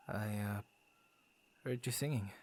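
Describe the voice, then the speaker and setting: A man's voice: on the low side, slow pace, a little mellow, slight background noise. A man voicing a friendly, bashful werewolf who guards his forest, stammering and playfully theatrical, in an ASMR boyfriend roleplay. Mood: sheepish, apologetic.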